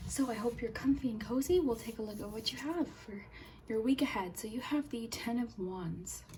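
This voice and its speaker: A young woman with a slightly hoarse, sore throat giving a chatty tarot reading to her viewers, friendly and soft-spoken, sometimes dropping to a whisper. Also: on the high side, normal speed, a little hiss or hum. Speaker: tarot reader and channel host. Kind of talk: chatty pick-a-card tarot reading to camera.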